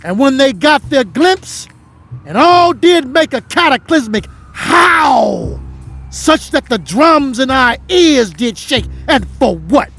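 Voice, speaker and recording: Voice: high voice, fairly bright tone, full character voice. An actor playing an indignant, scornful official who rebukes commoners in declamatory, mocking speeches in a theatrical audio drama.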